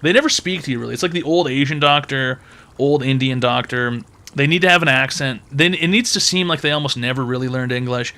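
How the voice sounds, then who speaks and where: A man's voice: mid-range voice, a little fast, pushed and bright. A man hosting a call-in advice show, brash and mocking with crude, profane jokes.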